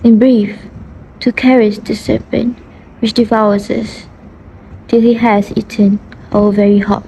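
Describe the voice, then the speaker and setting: A woman's voice: fairly high pitch, little pitch movement, measured pace, dark tone, a little hiss or hum, low-quality recording. A woman playing a despairing, brooding young woman in a dramatic monologue, in an amateur stage-play recording.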